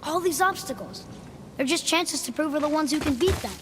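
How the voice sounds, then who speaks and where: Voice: high voice, lively intonation, brisk. A young performer playing an earnest, hopeful and curious boy in a video game, speaking with youthful sincerity. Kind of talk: video game cinematic acting. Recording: long echoing hall, noisy background.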